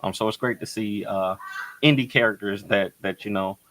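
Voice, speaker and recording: A man co-hosting a casual podcast, speaking in a halting, supportive way as he searches for words. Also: lowish voice, steady tone, a bit bright, low-quality recording. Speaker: podcast co-host.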